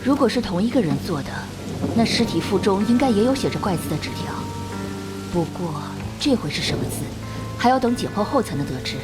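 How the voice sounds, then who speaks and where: A composed female investigator, voiced by an actress in an animated crime drama. She speaks analytically at a medium pitch, with loud music behind her. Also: a little fast, natural voice.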